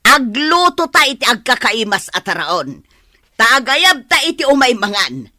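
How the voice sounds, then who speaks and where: Spiteful Ilocano village matriarch for radio comedy: sharp-toned, dry sound, aggrieved and pushy. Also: female voice, fairly high pitch, sing-song, a little slow, no room sound, full character voice. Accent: Ilocano, northern Philippines. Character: spiteful, grudge-holding older village mother. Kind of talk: radio comedy drama acting.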